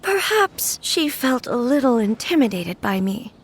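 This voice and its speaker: A woman voicing a calm, dignified goddess who rules a nation in a video game, speaking in a measured, formal way. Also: fairly high pitch, a little hiss or hum. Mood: composed. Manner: measured, stately, gracious. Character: calm, dignified female deity ruler, composed and formal. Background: game music.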